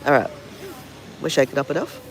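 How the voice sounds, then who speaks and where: A man with a sore throat and a nasal, slightly higher voice talks casually to viewers on a live stream. He rambles on a beach at sunrise, speaking into a phone at arm's length. A faint outdoor hum sits behind him. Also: expressive, fairly quick, a bit bright, poor audio. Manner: chatty, rambling, greets viewers by name. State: sore throat, has flu. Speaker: travel vlogger.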